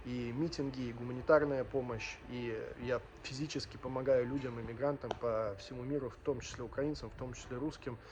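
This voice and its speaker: A man's voice: medium pitch, fairly even intonation, fairly quick, few pauses, noisy background. A man in his thirties from Ukraine and Russia telling his personal story as a vlogger, emphatic and earnest, repeating phrases for weight. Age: 30s.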